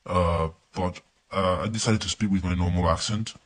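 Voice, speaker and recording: Spanish guy w part american accent, low flat husky voice, kinda hesitant testimonial. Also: normal speed. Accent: mix of Spanish and American. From Spain. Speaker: student giving a coaching testimonial. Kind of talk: casual spoken testimonial.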